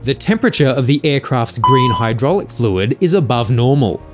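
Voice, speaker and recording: Lively-pitched male explanatory narrator. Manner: measured, formal, factual. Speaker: video narrator. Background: faint music.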